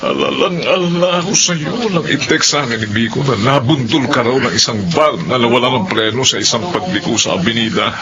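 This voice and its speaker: A man's voice: medium pitch, animated, fairly quick, few pauses, muffled recording, crowd noise, close to an everyday voice. An actor playing a worried, loving middle-aged father in a radio drama, earnest and admonishing. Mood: relieved concern. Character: worried, loving father lecturing his student sons.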